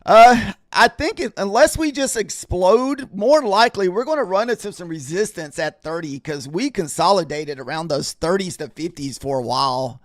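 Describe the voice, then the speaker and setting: Male streamer, casual rambling chat, slightly scratchy, fairly high, dry sound. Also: expressive, normal speed, hardly any pauses, dry recording. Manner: rambling, chatty, talks to viewers in chat. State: scratchy voice, clearing throat. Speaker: trading livestream host.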